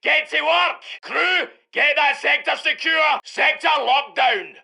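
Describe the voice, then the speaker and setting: An actor playing a gruff British tank commander in a war video game, barking short military orders in a forceful, gravelly voice. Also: male voice, very high voice, slow, exaggerated voice. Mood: brisk, commanding. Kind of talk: shouted military game barks.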